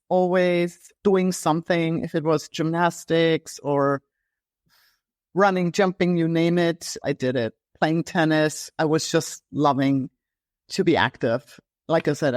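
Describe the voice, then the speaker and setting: A woman's voice: on the low side, a little mellow, no echo, clean studio sound. A woman from Germany, a health coach telling her story in an interview, talking with many fillers and restarts. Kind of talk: casual interview conversation.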